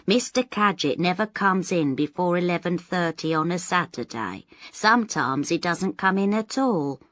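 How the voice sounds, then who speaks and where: A woman voicing an old cleaning woman who speaks in a flat, practical way. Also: lowish voice, normal speed. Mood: blunt. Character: old cleaning woman, blunt and matter-of-fact.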